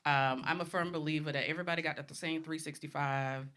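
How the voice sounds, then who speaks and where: A woman's voice: low voice, fairly even intonation, quick pace, a bit bright. A woman in her thirties from the Bahamas, a panelist speaking bluntly and confidently in a live discussion.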